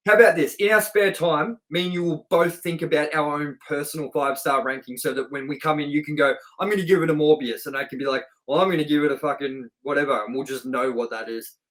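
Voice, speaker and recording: A man's voice: fairly high pitch, steady tone, quick pace, few pauses, fairly bright tone, poor audio. A man hosting a casual film review chat, speaking in an informal, chatty way with some swearing. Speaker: podcast host and film reviewer.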